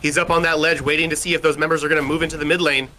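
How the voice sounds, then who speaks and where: A man calling an online match with a loud, powerful voice and rapid, energetic play-by-play. His tone is bright and forceful, and the words pour out quickly. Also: fairly high pitch. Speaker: esports caster. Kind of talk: play-by-play commentary.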